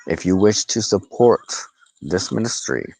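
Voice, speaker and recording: Slow, low-voiced man talking off the cuff. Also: expressive, gravelly, a little mellow, rough recording. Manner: halting, pausing mid-phrase. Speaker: host of a spiritual ministry livestream. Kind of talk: unscripted livestream talk.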